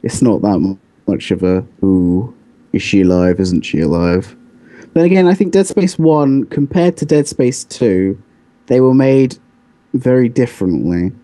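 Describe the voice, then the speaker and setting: A man's voice: mid-range voice, lively intonation, unhurried, mellow. A man co-hosting a podcast, explaining his points insistently in a casual debate. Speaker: podcast co-host.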